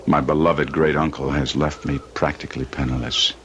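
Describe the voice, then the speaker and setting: Deep, arrogant, scheming Victorian gentleman. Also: flat delivery, normal speed, dull audio. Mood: wooing, self-pitying. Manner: theatrical, contemptuous. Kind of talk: old-time radio drama acting. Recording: noisy vintage radio recording.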